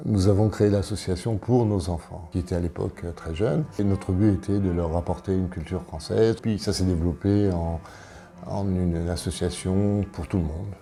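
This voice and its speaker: A man of sixty-two from Paris, presenting himself as an election candidate in an interview, speaking in a halting, deliberate way. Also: sits low, steady tone, mellow. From Paris, France. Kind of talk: interview answers, self-presentation.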